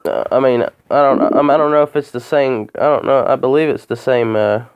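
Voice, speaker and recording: A man making a complaint by phone, talking in a halting, rambling way and repeating himself. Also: mid-range voice, clean tone. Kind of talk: phone call, informal account. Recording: muffled phone call recording.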